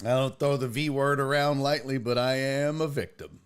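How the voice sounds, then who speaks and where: A man's voice: medium pitch, normal speed, smooth. A man hosting a casual livestream chat, telling a joking story and reading out messages from chat. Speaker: streamer and sports talk host.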